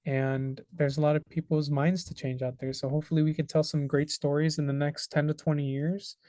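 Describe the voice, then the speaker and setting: Young male guest from the Chicago area, slightly high voice, relaxed and laughing, dry sound. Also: a little fast, no echo. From western suburbs of Chicago. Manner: laughs easily, loose talk with many "like"s. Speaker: interview guest, filmmaker. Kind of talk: casual interview.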